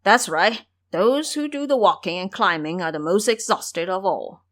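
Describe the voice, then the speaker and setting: A woman's voice: mid-range voice, animated, normal speed, fairly bright tone, lightly acted. A woman voicing a foolish, cowardly male pig disciple in an audio drama, blustering and whining through lies. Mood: boastful.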